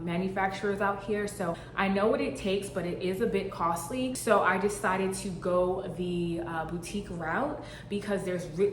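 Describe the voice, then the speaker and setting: A woman's voice: lowish voice, a little hiss or hum. A young woman from Boston, founder of a fashion brand, talking casually and playfully to the camera with plenty of fillers. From Boston, Massachusetts. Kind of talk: casual vlog talk to camera. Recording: echoey room with faint ambience.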